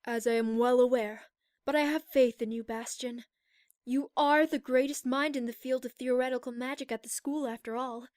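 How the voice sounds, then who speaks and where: Casting: woman to play stately alicorn princess for audio story, high-pitched, measured, formal and serious, recorded very dry. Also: fairly even intonation, darkish tone, no room sound, close to an everyday voice. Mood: grave, reassuring. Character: regal, grave adult female alicorn pony princess. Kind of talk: scripted audio drama acting.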